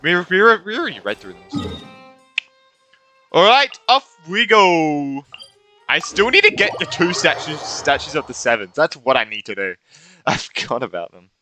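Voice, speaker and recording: A man streaming a video game with casual commentary, thinking aloud in a halting way and repeating words. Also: on the high side, huge pitch swings, bright, forceful tone, soft background music. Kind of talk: casual live game commentary and reading along.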